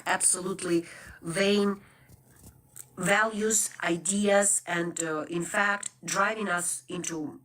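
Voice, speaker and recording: A woman's voice: on the low side, fairly bright tone, husky, rough recording. A woman speaking emphatically in conversation, listing her points and building in intensity. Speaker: co-host. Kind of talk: impassioned conversational appeal.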